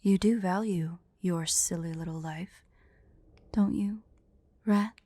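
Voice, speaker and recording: A woman voicing a haughty, vain, teasing female dragon who is secretly fond, in an intimate, breathy, imperious ASMR roleplay style. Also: fairly low pitch, steady tone, dark tone, dry recording. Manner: slow, imperious, playful, breathy near-whispers at times.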